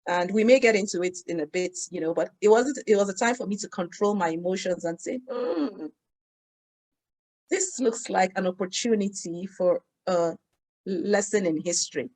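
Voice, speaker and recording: A woman, a guest in an interview, telling stories in a measured, lively way. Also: medium pitch, poor audio. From Nigeria. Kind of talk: conversational interview storytelling.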